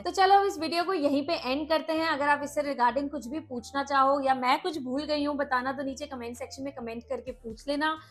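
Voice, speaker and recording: A woman hosting a casual product demo, explaining things and mixing in English words. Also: high-pitched. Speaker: product review host.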